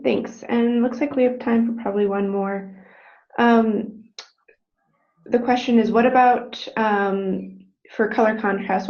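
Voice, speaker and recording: A woman moderating an informal webinar Q&A, relaying questions with hesitant pauses between phrases. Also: mid-range voice, darkish tone. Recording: dull, band-limited webinar audio.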